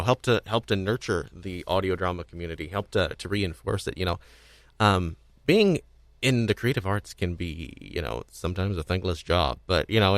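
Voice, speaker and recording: A man's voice: sits low, fairly bright tone, dry recording. A man hosting a casual discussion show, talking off the cuff in a rambling, hesitant way with repeated words. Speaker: podcast host.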